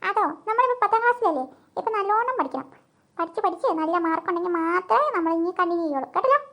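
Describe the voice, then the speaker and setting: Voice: extremely high pitch, animated, rapid-fire, sharp tone, heavily acted. A performer voicing a bossy, studious teenage schoolgirl in a comic cartoon, scolding in a matter-of-fact way. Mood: bossy, firm. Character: bossy, studious tenth-grade girl, playfully scolding. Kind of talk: comic Malayalam cartoon dubbing.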